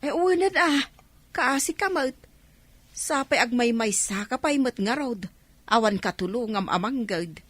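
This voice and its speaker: An Ilocano-accented woman playing a kindly, apologetic mother in a radio drama, speaking with gentle sympathy. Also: a little high, lively intonation, a little slow, no room sound. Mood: apologetic, concerned. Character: kindly, apologetic mother covering her daughter's debt.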